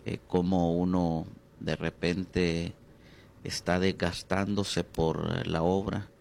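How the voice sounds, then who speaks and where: Deep, gravelly Honduran pastor. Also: male voice, flat delivery, a little mellow, slight background noise. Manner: hesitant, many drawn-out fillers, slows on key words. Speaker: pastor and interview guest. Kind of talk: reflective interview conversation.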